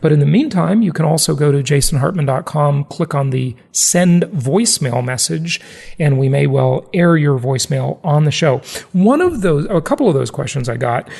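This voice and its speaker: A man's voice: on the high side, animated, moderate pace, gravelly, a little mellow, breathy edge. An American man hosting a podcast, chatting informally with casual asides. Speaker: podcast host. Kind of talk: casual solo podcast talk.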